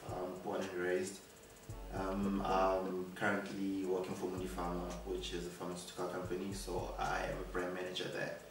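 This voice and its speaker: A man's voice: deep voice, monotone, normal speed. A man in his thirties from Soweto introducing himself in a cheerful, chatty way while sitting on a sofa. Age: 30s. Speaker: interviewee. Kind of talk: casual self-introduction. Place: indoors on a sofa. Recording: echoey room, distant mic.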